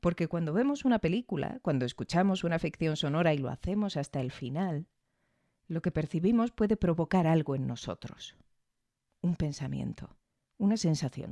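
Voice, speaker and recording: A woman's voice: deep voice, dark tone, no room sound, clean studio sound. A woman reading a reflective, scripted review as podcast host. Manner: reflective, measured.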